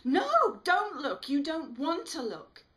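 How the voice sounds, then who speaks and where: Brash teen lad, performed by a woman narrator, raised pitch, loud, melodramatic, storybook character. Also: wildly swooping pitch, slow, heavily acted. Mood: horrified, urgent. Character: cheeky, brash, excitable teenage boy.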